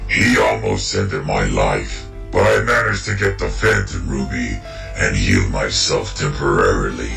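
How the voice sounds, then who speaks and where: A man's voice: extremely low pitch, vocal fry, pushed and bright, full character voice. A man voicing a brooding, menacing masked villain creature in a grave, formal manner for a comic dub. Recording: roomy echo, music underneath. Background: background music.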